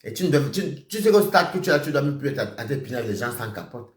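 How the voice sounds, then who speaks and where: A man ranting on a live stream, accusing someone directly. He repeats himself and swings between shouting and muttering. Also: medium pitch, animated, quick pace, reverberant. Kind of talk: heated live-stream rant.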